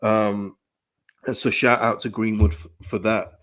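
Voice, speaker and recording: A man, hoarse from a dry throat, giving emphatic live-stream commentary on his football club, full of restarts and repetition. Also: lowish voice, unhurried. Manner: animated, emphatic, repeats phrases, frequent restarts. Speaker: football fan channel host. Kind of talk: live-stream fan commentary, reading chat.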